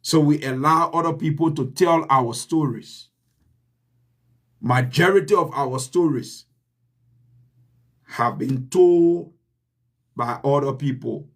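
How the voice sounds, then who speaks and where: A man with a Liberian accent giving an earnest, deliberate motivational talk to his channel's viewers, repeating his key points for emphasis. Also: on the high side. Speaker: channel host. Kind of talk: motivational address.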